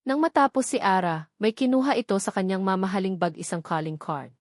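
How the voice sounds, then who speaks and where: A woman's voice: mid-range voice, a little fast, no room sound, studio quality. A woman with a Filipino accent reading a scripted story as a dramatic audiobook narration.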